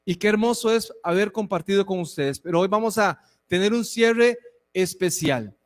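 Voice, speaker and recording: A man in his forties preaching to a church, conversational and warm, with frequent asides to the congregation. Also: high-pitched, normal speed. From Costa Rica. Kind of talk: sermon and church address. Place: church hall. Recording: long reverb of a hall.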